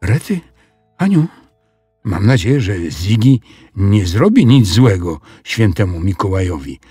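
A man's voice: fairly low pitch, very animated, measured pace, mellow, husky, no room sound, clean studio sound, soft background music, heavily acted. A man voicing a naive young schoolboy with an earnest manner in a children's audio story. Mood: worried.

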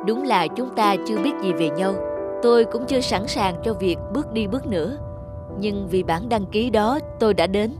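A middle-aged businessman in a cartoon drama. He is a courteous, gentle suitor with a measured, sincere way of speaking. Music sits behind him. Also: sits high, animated. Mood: modest, sincere. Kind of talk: animated moral drama acting.

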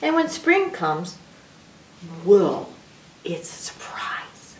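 A woman voicing a gentle, patient mother animal in a storybook, soothing and explaining things to her young one. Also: on the high side, very animated, takes it slowly, heavily acted. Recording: roomy echo, distant microphone.

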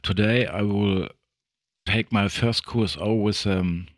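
A man's voice: on the low side, fairly even intonation, slow pace, dry recording, soft background music. German man with a dry, close sound. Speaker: amateur radio operator. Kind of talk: halting spoken introduction in English.

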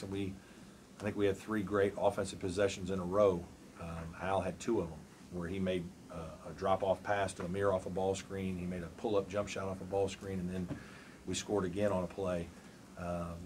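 A man in his forties, a college basketball coach, answering questions at a postgame press conference in a measured, thoughtful way with many fillers. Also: low voice, slight background noise. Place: press room before a backdrop. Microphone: table mic on a stand.

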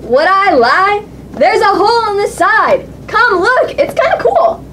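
An impatient little girl in a puppet show, acted by a woman, with a very high, swooping voice. She pleads dramatically and bosses people about with cheek. There is clear background noise on the recording. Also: very animated, unhurried, fairly bright tone, full character voice. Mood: incredulous. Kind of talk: children's puppet show acting.

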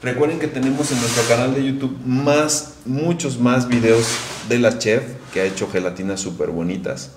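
A man's voice: medium pitch, moderate pace, hardly any pauses. Man, live stream co-host, chatty, echoey, faint hiss. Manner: chatty, reads out viewer questions and promotes the channel. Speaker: livestream co-host reading viewer comments. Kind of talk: casual live stream hosting. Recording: echoey kitchen livestream.

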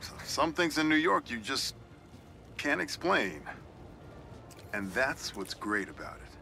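Animated, bright-voiced folksy older newspaperman. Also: medium pitch, unhurried, creaky, soft background music, exaggerated voice. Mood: fond, proud. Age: middle-aged. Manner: folksy, wry. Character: warm, proud older newspaperman who loves his city. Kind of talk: video game voice acting, spoken commentary.

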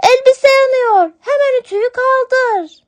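A woman voicing an eager, helpful young kitten in a children's storybook, with an excitable childlike delivery. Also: very high-pitched, measured pace, dry recording, pitched above the performer's own voice. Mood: alarmed.

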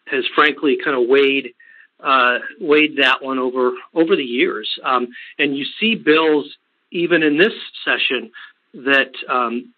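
A man speaking for an environmental group, answering off the cuff on a telephone conference call in a measured, halting way. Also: mid-range voice. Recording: narrow, tinny phone line.

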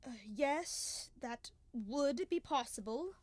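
Young woman as protesting detective's companion, slow delivery, dry sound, indignant gentleman, old radio drama. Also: high voice, animated, dry recording. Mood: doubtful. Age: young adult. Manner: hesitant, protesting. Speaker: student actor. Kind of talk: 1930s-style radio drama acting.

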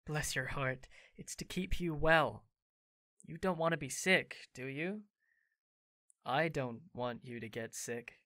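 A man's voice: mid-range voice, slow, sharp tone, no room sound, clean studio sound. An actor playing a charming, playful young man in an audio drama, coaxing and kind. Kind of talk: amateur audio drama acting.

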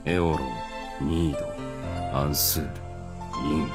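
An actor playing a gruff, confident spearman in a visual novel game, talking in rough, casual, matter-of-fact speech. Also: very deep voice, fairly even intonation, slow pace, loud music behind the voice. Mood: solemn incanting. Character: gruff, confident male spear-wielding warrior, blunt and unflinching.

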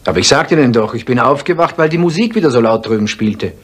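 An actor playing a guarded, defensive husband under suspicion in a 1970s German radio crime drama, courteous but evasive. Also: male voice, mid-range voice, lively intonation, quick pace, natural voice.

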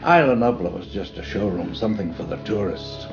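A grave older founder explains things in a measured, low voice. Music plays behind the slightly dull game cutscene audio. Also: male voice, expressive, creaky. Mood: confiding.

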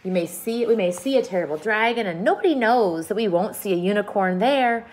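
A woman reading aloud as a grinning, boastful male centipede, chanting rhymes with a lively singsong delivery. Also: medium pitch, brisk, natural voice. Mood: gleeful.

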